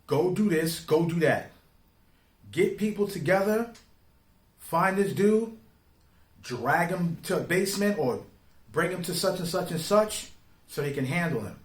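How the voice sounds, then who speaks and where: A man giving informal opinion commentary in street slang, speaking emphatically and deliberately, often putting rhetorical questions to the listener. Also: sits high, animated. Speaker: online commentator. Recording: roomy, echoing sound.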